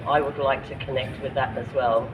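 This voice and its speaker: A man with a London accent, from Ireland, reading his own poetry at a public gathering with passion and deliberate rhetorical questions. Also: medium pitch, level tone, background noise. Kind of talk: spoken-word poetry reading.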